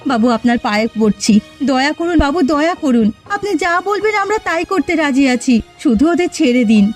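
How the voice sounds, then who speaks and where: A woman's voice: high voice, expressive, fairly quick, faint music behind. A woman voicing a humble, pleading village woman with a plaintive manner in a cartoon. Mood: begging. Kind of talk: cartoon voice acting.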